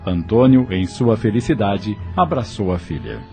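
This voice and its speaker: A man's voice: on the low side, expressive, moderate pace, darkish tone, soft background music. A man narrating an audio novel in a scripted storytelling style.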